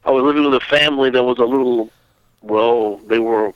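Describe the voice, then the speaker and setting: A man's voice: mid-range voice, fairly quick, lo-fi sound, slight background noise. An older man from Austria, a guest author on an internet call, talking in a rambling way with many fillers and restarts. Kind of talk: phone interview. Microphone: internet call audio.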